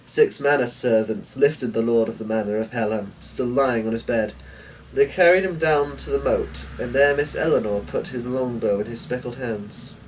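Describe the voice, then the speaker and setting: A man's voice: medium pitch, moderate pace. A man giving a dramatic narration of a storybook as its reader. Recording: distant mic with noticeable background noise.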